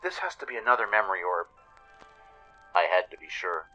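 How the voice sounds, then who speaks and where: Man voicing a bewildered young mare, bright edgy tone. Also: sits low, big pitch swings, a little fast, dull audio, soft background music, exaggerated voice. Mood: alarmed disbelief. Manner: hesitant, stammering. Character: confused young female pony waking from stasis.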